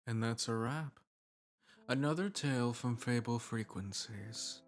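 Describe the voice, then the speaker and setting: A man's voice: mid-range voice, unhurried. A man hosting an audio story channel, reading a scripted outro that plugs the channel. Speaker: channel host and voice actor. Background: music under the voice.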